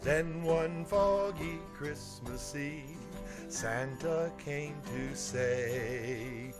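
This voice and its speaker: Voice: medium pitch, very slow. A performer singing a Christmas song. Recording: music accompaniment.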